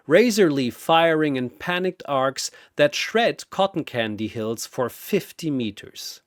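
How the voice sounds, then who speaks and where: A man's voice: medium pitch, no room sound, clean studio sound. A man delivering dramatic scripted battle narration in a solemn, theatrical manner.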